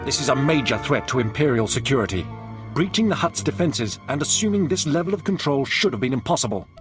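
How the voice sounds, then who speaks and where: An actor playing a stern Imperial army major in a video game, giving clipped, authoritative orders. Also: male voice, mid-range voice, big pitch swings, quick pace, over music, close to an everyday voice. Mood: stern, urgent. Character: stern, commanding male Imperial military major. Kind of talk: video game cutscene acting.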